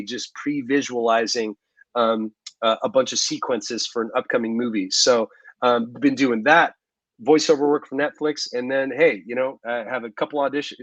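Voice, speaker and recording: A man's voice: medium pitch, a bit bright, dry recording. Rambling man on a dull video call. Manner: chatty, rambling, many ums and restarts. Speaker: actor guest. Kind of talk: casual interview over video call. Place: living room at home. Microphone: video call microphone.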